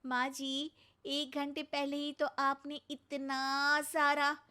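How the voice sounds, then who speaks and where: Casting: woman as young daughter-in-law, high-pitched, worried, dutiful, pleading with her elders in a cartoon story. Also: completely flat delivery, slow, bright, forceful tone, exaggerated voice. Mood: flustered, placating.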